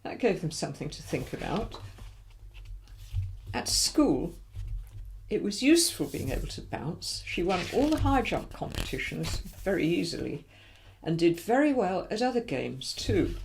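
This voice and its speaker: A woman narrates a children's story in a fairly low voice with a touch of gravel. Her delivery is expressive and swings widely in pitch, leaning on key words for young listeners. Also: poor audio. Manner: animated, dramatic emphasis for young listeners. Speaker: volunteer story reader.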